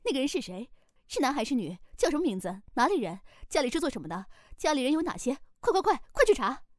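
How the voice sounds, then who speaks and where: A woman's voice: high-pitched, very animated, dry recording, studio quality. A woman playing a bossy, jealous, indignant mother of a grown son in an audio drama, exclaiming and firing off demands. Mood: demanding, nosy. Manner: exclamatory, rapid-fire questioning. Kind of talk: Chinese audio drama acting.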